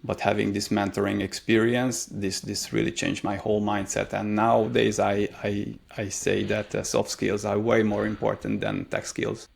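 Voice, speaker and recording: Hungarian guy in his 30s, low gravelly voice, lots of uhs while he thinks. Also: level tone, normal speed, few pauses. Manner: thoughtful, many hesitations and fillers. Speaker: guest, career mentor. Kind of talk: casual interview answers.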